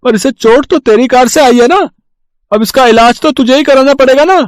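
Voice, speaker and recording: A man's voice: very high voice, normal speed, no echo, clean studio sound. A man playing an indignant, scolding bystander in a moral story, with an accusing, emphatic manner.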